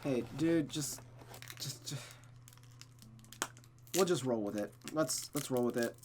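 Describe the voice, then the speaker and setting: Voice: medium pitch, expressive, slow, darkish tone, a little hiss or hum. A streamer narrating a visual novel aloud, with casual commentary.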